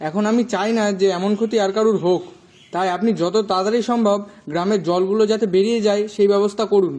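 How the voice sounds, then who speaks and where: A man voicing a worried, deferential villager who pleads earnestly in an animated folk tale. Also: sits high, fast talker, close to an everyday voice.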